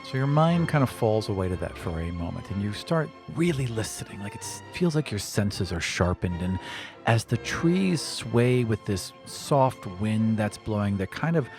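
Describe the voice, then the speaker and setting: Animated man running a tabletop game. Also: fairly low pitch, normal speed, music in the background. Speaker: game master. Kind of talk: improvised tabletop game narration.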